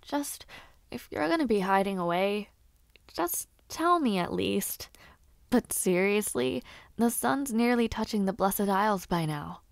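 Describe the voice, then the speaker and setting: A lively young noblewoman who hopes to be a knight, acted by a woman for a fantasy audio roleplay. She talks in a mid-pitched voice, teasing and gossipy, with little hums and hesitations. The sound is dry and intimate. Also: unhurried, creaky, dry recording. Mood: pouty.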